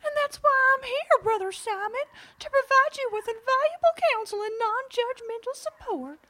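A woman playing a fussy, earnest, scheming small-town monster pastor in an audio comedy, with a squeaky cartoonish voice and florid manner. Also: lively intonation, normal speed, pushed and bright, a little hiss or hum, heavily acted. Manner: earnest, florid, self-important. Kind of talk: audio comedy drama acting.

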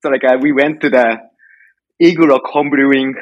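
A middle-aged man with a Japanese accent speaks at a medium pitch as an interview guest. He tells stories casually in halting, informal English, recorded dry with little room sound. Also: steady tone, no room sound, muffled recording. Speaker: podcast guest, home brewer.

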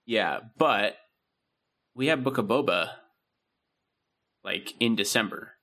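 A man's voice: on the low side, lively intonation, slow, pushed and bright. A young man co-hosting a podcast, chatting in a laid-back, rambling way with plenty of ums. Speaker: podcast co-host. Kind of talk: casual chat.

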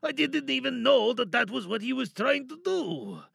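A man playing a cynical, wary captive scientist in an audio drama monologue, sardonic and probing, bargaining with quiet menace. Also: extremely high pitch, sing-song, moderate pace, gravelly, husky, no room sound, studio quality, full character voice. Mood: wry, defensive. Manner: sardonic, probing, rhetorical questions.

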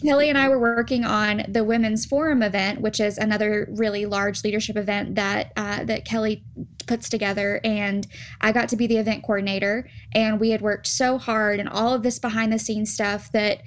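A young woman who coordinates events, telling a story in a casual, soft-spoken and chatty way on a podcast. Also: mid-range voice, little pitch movement, normal speed, slight background noise.

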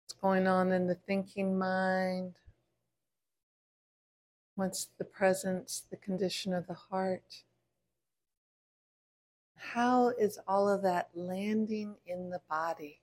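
A woman meditation teacher leading a guided body scan in a gentle, unhurried way, pausing between short phrases. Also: mid-range voice, steady tone.

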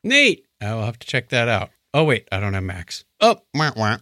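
Animated man with a bright, lively voice. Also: mid-range voice, normal speed, no echo, clean studio sound. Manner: animated, exclamatory, joking. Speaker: podcast co-host. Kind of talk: casual podcast chat.

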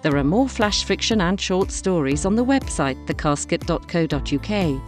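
A woman's voice: lowish voice, expressive, normal speed, a little mellow, soft background music. A woman reading scripted podcast announcements. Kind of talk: scripted podcast introduction and sign-off.

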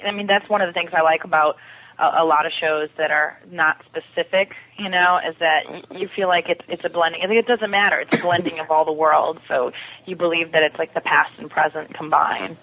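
A woman's voice: deep voice, brisk. Woman on a tinny, noisy phone line. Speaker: podcast guest. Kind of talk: casual chat about TV science fiction. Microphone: phone or call connection.

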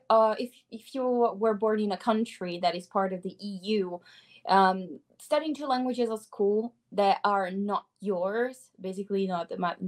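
A woman in her twenties with an Italian accent, a native Italian speaker chatting as an upbeat interview guest. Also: medium pitch. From Italy. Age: 20s. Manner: upbeat, chatty, laughs often. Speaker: guest, language learner. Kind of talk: casual interview chat.

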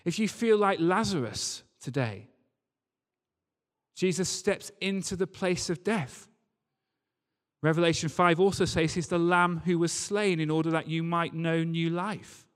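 A man's voice: a little high, measured pace. A man preaching a sermon to a congregation in an emphatic manner, using rhetorical questions and repetition. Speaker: preacher, church leader. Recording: reverberant hall sound.

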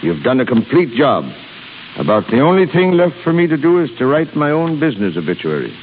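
A man's voice: on the high side, sing-song, fairly quick. An actor playing a crusty, blustering old shipping boss in his sixties, sputtering salty seafaring talk in 1930s radio style. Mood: defeated. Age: 60s. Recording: crackly, tinny 1930s radio broadcast.

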